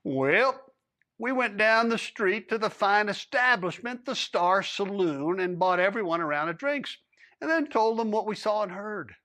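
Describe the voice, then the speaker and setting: A man's voice: high voice, lively intonation, measured pace, sharp tone, voice pushed up, full character voice. A man voicing a sly old-west male rancher with a folksy who dodges questions. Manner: folksy, dry, evasive drawl.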